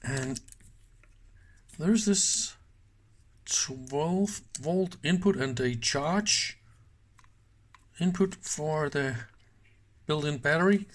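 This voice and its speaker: A man with a slightly high voice talks slowly through a hands-on demonstration at a workbench. He sounds informal and unscripted, thinking aloud and dropping in short exclamations as he goes. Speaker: host of electronics teardown videos. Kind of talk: casual hands-on commentary. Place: indoor workbench.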